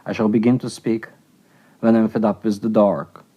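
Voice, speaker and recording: A man's voice: lowish voice, steady tone, normal speed, warm tone, husky, muffled recording. A man, a poet, reading his own poem aloud. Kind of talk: poetry recitation.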